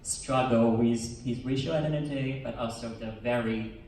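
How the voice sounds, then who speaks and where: A man whose first language is Chinese speaks earnestly as a documentarian on a premiere panel, often halting and restarting his words. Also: medium pitch, fairly even intonation, darkish tone, a little hiss or hum. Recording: echoey hall, from far off.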